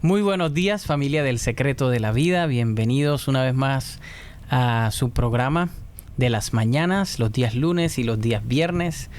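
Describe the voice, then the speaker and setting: A man's voice: mid-range voice, normal speed, a little mellow. Chatty man hosting a church show. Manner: friendly, chatty, frequent hesitations. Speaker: host. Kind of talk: casual church talk show. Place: church studio.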